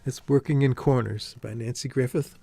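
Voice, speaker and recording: An American man from New Jersey, a folk singer-songwriter, introducing songs casually in a halting, self-effacing, understated way. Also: mid-range voice, steady tone, vocal fry, warm tone. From New Jersey, United States. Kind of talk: casual song introductions between live songs. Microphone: stage microphone. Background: acoustic guitar.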